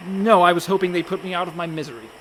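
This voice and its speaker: A man's voice: high-pitched, steady tone, fast talker, fairly bright tone, a little hiss or hum. An actor playing an anxious, sarcastic younger brother, a reluctant con man, in a comic radio play. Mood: sarcastic, grumbling. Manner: sarcastic, fretful.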